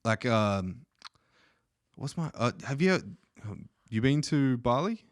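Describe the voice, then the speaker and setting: A man's voice: medium pitch, very animated, slow pace, no room sound, studio quality. A man hosting a podcast in casual, crude, joking chat, swearing freely. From Australia. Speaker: podcast host. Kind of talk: casual profane interview chat.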